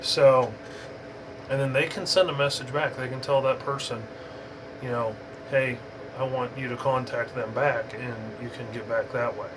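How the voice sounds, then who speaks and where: A man talks through an informal hobby tutorial with a medium-pitched voice. His delivery is unscripted and halting, wandering as he explains. A clear, steady background noise sits behind him. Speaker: amateur radio hobbyist giving an introduction.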